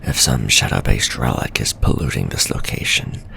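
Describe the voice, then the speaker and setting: A man voicing an obsessive male scholar-mage who reads his letters home in a formal, soft whisper for an ASMR story. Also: rumbling low, expressive, normal speed, gravelly, slight background noise, heavily acted. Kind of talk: whispered ASMR roleplay reading.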